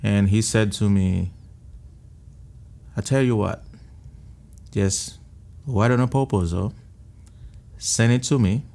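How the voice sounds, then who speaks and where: A man from Haiti with a Haitian accent, telling his story in a restaurant interview in a halting, earnest way, often repeating words. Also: fairly low pitch, gravelly, dark tone. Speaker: restaurant owner and missionary.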